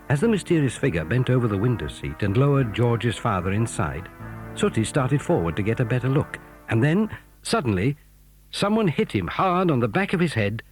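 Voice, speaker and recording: A British man narrating a children's adventure drama in a scripted storytelling style. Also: mid-range voice.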